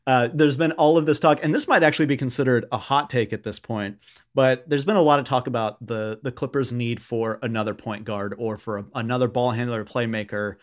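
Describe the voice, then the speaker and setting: A man's voice: mid-range voice, steady tone, fairly quick. Male sports podcast host, mid-range voice, conversational, somewhat hesitant delivery. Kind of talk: casual sports podcast chat.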